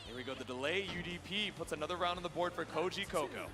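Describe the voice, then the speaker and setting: A man calling a fighting game match in excitable, clipped play-by-play phrases. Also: fairly high pitch, fast. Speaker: esports commentator. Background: music.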